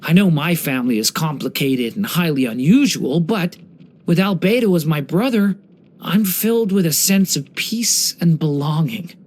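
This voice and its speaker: An actor plays a young boy who was once a small dragon, a gentle and earnest video game character. The voice sits in a middle register and moves with very lively, varied intonation. Each line is told carefully, with innocent wonder and a soft heart. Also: medium pitch, moderate pace, natural voice. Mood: thoughtful, sincere. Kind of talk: scripted game character voice lines.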